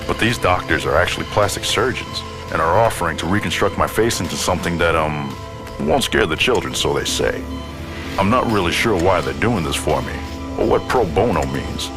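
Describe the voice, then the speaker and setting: A battered wrestler with a very low voice, narrating his own story in a video game. He sounds conversational and self-deprecating, wry yet determined, with music clearly playing behind him. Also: expressive, a little fast. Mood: wry, earnest. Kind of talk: first-person game cutscene narration.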